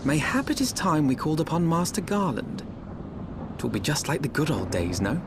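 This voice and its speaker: A man's voice: a little high, normal speed. An actor playing an earnest young nobleman in a fantasy game, questioning others in formal, old-fashioned language. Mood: thoughtful. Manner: formal, archaic, expressive speech. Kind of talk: dramatic fantasy game acting. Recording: outdoor ambience noise behind.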